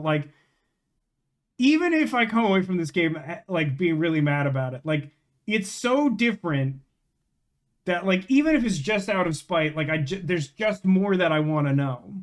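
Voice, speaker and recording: A man chatting casually on a live game stream, rambling and emphatic, with slangy phrasing. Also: high-pitched.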